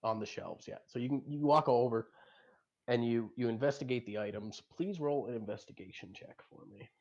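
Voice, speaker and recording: A man running a tabletop game as game master, improvising narration in a halting, explanatory way. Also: medium pitch, a little mellow, highs cut off.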